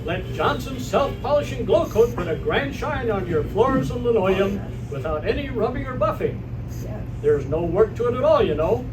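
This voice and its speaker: A man's voice: sits high, big pitch swings, moderate pace, over music. A man playing an old-time radio announcer, reading scripted introductions and sales copy on stage. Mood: upbeat selling. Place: live theater stage performance. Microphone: far from the microphone. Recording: noisy, distant stage recording.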